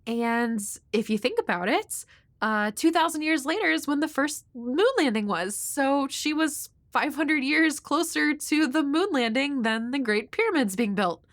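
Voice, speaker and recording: Woman playing a high-voiced, shy, nerdy young woman. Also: expressive, measured pace, fairly bright tone, no room sound, studio quality, exaggerated voice. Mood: nerdy, eager. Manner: hesitant, rambling, nervously apologetic. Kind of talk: intimate audio roleplay addressed to the listener.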